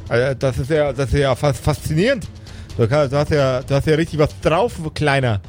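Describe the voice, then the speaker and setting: A man's voice: mid-range voice, moderate pace, soft background music, close to an everyday voice. A man playing a self-important older master wizard who stammers and talks down to others in a tabletop game. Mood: impressed, stammering.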